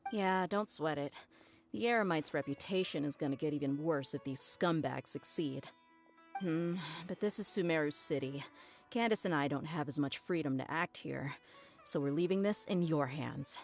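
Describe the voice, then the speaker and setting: A woman's voice: low voice, normal speed. A woman voicing a tough, blunt young female mercenary with a casual manner in a video game. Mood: casual, frank. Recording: game music behind the voice.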